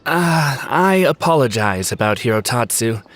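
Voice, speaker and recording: A man's voice: medium pitch, animated, unhurried, a bit bright. An actor playing a friendly, polite, conciliatory young man in an anime game. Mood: apologetic, informative. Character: friendly, apologetic, easygoing young male event organiser. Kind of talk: anime game character acting.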